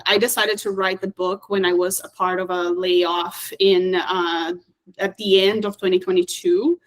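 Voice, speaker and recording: A woman speaking as an author on an online panel, introducing herself in a reflective, explanatory way. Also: medium pitch. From Brazil or United States (Brazilian American). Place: video call.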